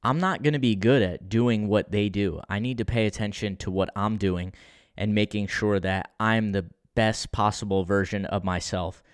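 A young man talks through a solo podcast episode in a flat, unexcited, conversational way, often restarting his sentences. His voice sits low and comes across smooth and clear. The sound is close and dry, with no room around it. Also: moderate pace, no echo. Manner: monotone, low-key and unexcited. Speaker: podcast host.

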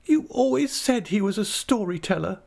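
A man's voice: sits high, lively intonation, unhurried, vocal fry, darkish tone, pitched above the performer's own voice, full character voice. A man voicing a childish, tearful young wife in an audiobook, exclaiming in wounded, dramatic reproach. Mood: sobbing, wounded. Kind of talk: audiobook character voice.

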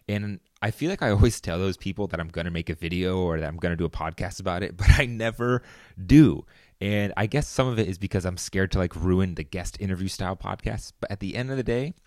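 A man's voice: low-pitched, darkish tone, dry recording, clean studio sound. A young man hosting his own podcast, talking alone in a casual, rambling way with lots of fillers. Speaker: podcast host. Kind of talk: solo podcast monologue.

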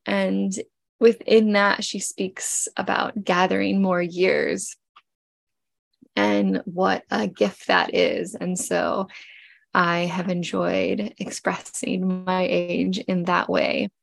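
A woman of forty hosting a podcast, speaking gently and from the heart with many pauses while she gets over a cold. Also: on the low side, vocal fry, no room sound. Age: 40s. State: congested, getting over a cold. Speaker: podcast host. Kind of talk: personal reflective podcast talk.

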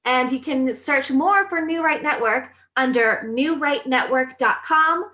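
A woman's voice: high voice, unhurried, pushed and bright. A woman hosting an interview, with a polished, upbeat presenter delivery. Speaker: host.

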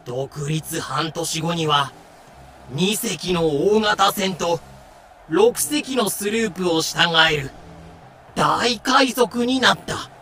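A man's voice: high voice, lively intonation, takes it slowly, pushed and bright, faint music behind. A man giving scripted, dramatic narration for an audio drama.

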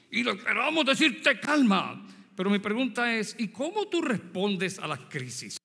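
A man's voice: extremely high pitch, pushed and bright. A Puerto Rican man in his sixties preaching a sermon, telling a story from his youth and asking the congregation direct questions. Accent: Puerto Rican Spanish. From Puerto Rico. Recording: long hall reverb.

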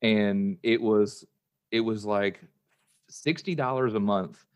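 A man's voice: lowish voice, slow, smooth. An American man chatting casually in an easygoing, conversational way. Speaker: podcast host. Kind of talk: casual chat.

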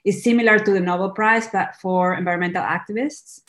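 A woman's voice: mid-range voice, fairly even intonation, brisk, fairly bright tone. A young woman, a documentary director, speaking earnestly in a podcast interview and often restarting her words. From Peru. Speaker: documentary film director, guest.

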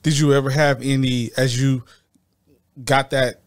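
A man hosting a podcast interview in a relaxed, casual way, often calling his guest "man" and "bro". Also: mid-range voice, unhurried, clean tone. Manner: laid-back, says "man" and "bro" often. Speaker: podcast host.

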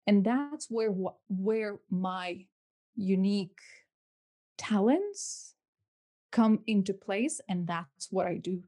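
A woman in her thirties speaks with a Lithuanian accent in a dark, relaxed tone. Her pitch sits in an average range as she gives conversational answers as a coach being interviewed on a podcast. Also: slow. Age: 30s. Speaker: podcast guest, life and business coach.

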